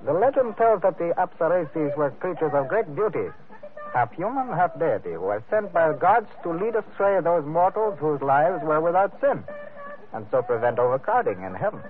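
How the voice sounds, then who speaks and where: An actor playing a courteous, grave informant in an old radio adventure, speaking in formal, ornate, deliberate phrases. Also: male voice, on the high side, sing-song. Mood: solemn, cryptic. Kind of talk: old-time radio drama acting. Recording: noisy, narrow-band old radio recording.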